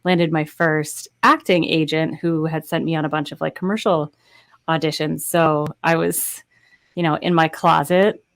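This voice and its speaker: Low-pitched woman telling anecdotes casually. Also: steady tone, normal speed, no echo. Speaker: voice actor, panel guest. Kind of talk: casual anecdotal panel talk. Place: indoor home recording space, video call.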